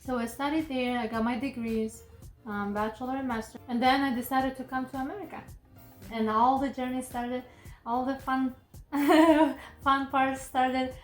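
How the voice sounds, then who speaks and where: A woman in her late twenties from Kazakhstan, whose English is a second language, talks through her story in a relaxed, thoughtful way, often stopping to search for words. Her voice sits a little high, and she is recorded in an echoey room with faint music behind her. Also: normal speed. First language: Kazakh or Russian. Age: 20s. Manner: relaxed, thoughtful, frequent fillers and restarts. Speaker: interview guest. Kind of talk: casual interview.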